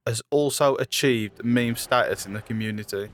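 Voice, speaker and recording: A man's voice: medium pitch, normal speed. A man reading scripted trivia narration as the narrator of a video. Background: music.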